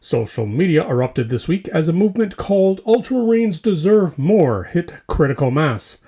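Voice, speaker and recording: Man reading mock news, very animated. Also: a little high, moderate pace, slightly rough. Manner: theatrical mock-serious newscaster delivery. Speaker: host of a hobby news show. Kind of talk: scripted mock news narration.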